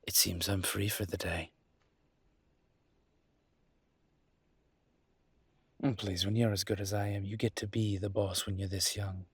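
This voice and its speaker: Man playing a low, husky, charming young mafia boss. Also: flat delivery, fairly quick, no echo. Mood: playfully smug. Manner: playful, smooth-talking, teasing. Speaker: voice actor in a roleplay audio. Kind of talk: intimate audio roleplay acting.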